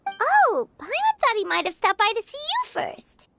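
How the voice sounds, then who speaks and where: A woman voicing a tiny, childlike, excitable fairy companion in a video game, with a chirpy manner. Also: very high voice, a little slow, gravelly, slight background noise, exaggerated voice. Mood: curious, chirpy. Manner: chirpy, refers to self in third person.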